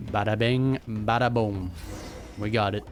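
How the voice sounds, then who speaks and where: A man's voice: lowish voice, fairly even intonation, a little slow, faint music behind. A man doing casual, chatty reaction commentary while playing a video game. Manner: chatty, chuckling. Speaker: gaming streamer.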